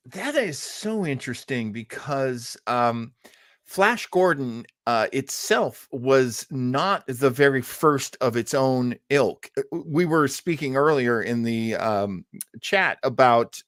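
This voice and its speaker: A man's voice: mid-range voice, a bit bright, no echo, clean studio sound. A man chatting casually as a co-host, reflective and informal, often searching for his words. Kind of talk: casual chat.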